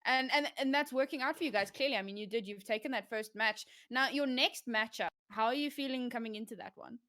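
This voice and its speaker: High-voiced woman hosting a casual podcast. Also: brisk, sharp tone. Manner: laughs easily and often. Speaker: podcast host. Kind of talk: casual interview on a live esports podcast.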